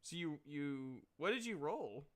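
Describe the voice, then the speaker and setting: A man's voice: on the high side, animated, measured pace. A man narrating a tabletop role-playing game as game master, casual and hesitant, swearing now and then.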